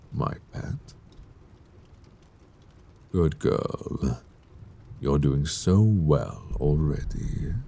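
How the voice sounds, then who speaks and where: A seductive, sadistic male vampire in an intimate audio drama. The voice is rock-bottom deep and gravelly, with swooping intonation. He is silky and commanding, condescending even when he turns mock-tender, speaking close to the microphone. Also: slight background noise, exaggerated voice. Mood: menacing, savoring. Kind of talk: intimate scripted audio roleplay. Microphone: close binaural microphone.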